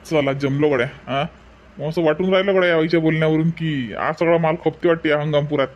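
A man's voice: on the high side, fairly even intonation, quick pace, lo-fi sound, close to an everyday voice. A man playing a chatty village umbrella seller in a comic cartoon, with folksy sales patter and a Varhadi Marathi accent. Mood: pleased, hopeful. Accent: Varhadi Marathi from Vidarbha.

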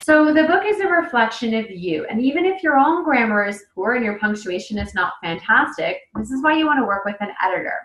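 A woman's voice: fairly high pitch, lively intonation, fairly quick, hardly any pauses, a bit bright. A woman in her thirties, an author giving a casual online lesson over a webcam, talking loosely with fillers and restarts. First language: English. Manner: conversational, many fillers and restarts. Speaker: author presenting a webinar. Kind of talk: casual instructional talk. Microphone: webcam on a video call. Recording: echoey room on a webcam mic.

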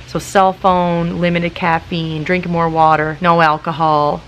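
A woman in her late thirties talking calmly and practically to camera, chatty and casual, recorded outdoors in a garden with some wind. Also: on the low side, measured pace, highs cut off. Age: late 30s. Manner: calm, practical, chatty with many likes. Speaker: YouTuber. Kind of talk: casual vlog talk to camera. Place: outdoors in a garden in front of hedges.

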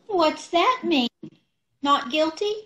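Daffy wife, female actor, guileless and scatterbrained, slow, lilting, animated radio comedy delivery. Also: extremely high pitch, heavily acted. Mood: innocent, breezy. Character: scatterbrained, guileless wife who misunderstands everything. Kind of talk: old-time radio comedy acting. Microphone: video call.

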